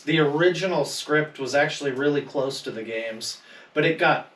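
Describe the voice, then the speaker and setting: A man's voice: a little high, moderate pace, a bit bright. A man co-hosting a podcast, talking in an informal, conversational way with friends. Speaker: podcast co-host. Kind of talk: casual group chat. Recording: echoey room, distant from mic.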